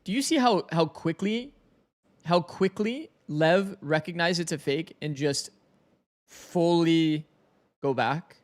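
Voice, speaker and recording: A man with a slightly raspy, somewhat high voice talks through a match replay for his stream chat. He chatters on loosely, thinking aloud and circling back on his own points. The sound is close, from a desk mic. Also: takes it slowly. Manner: talkative, thinks aloud, chats with viewers. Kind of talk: casual match analysis.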